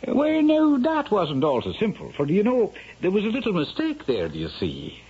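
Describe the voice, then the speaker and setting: An actor in a 1970s radio drama playing a lively old Irish storyteller with a theatrical, swooping delivery. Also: male voice, high-pitched, normal speed, husky, full character voice. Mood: sly, animated. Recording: muffled vintage radio broadcast with music.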